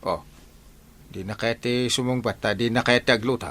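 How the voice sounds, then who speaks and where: A man's voice: medium pitch, fairly even intonation, normal speed, a bit bright, dry recording, natural voice. A man with a northern Philippine accent playing a grumbling, henpecked village captain in an audio comedy, protesting and stammering. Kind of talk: comedy audio drama acting.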